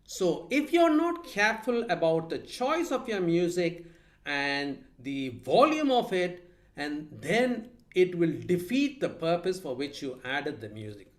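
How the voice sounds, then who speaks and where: A man moderating a video call club meeting, explaining points in a measured way with some hesitation. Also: a little high, very animated. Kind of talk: online club meeting discussion.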